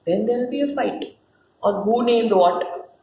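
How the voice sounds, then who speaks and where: A woman's voice: on the high side, warm tone, lo-fi sound. A woman voicing a scheming, jealous serpent with a sneering, melodramatic manner in a dramatic stage play reading. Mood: gloating. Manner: sneering, melodramatic, repeats words, drawn-out phrases. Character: scheming, jealous, theatrical serpent, mocking fallen angel. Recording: roomy echo with clear background noise.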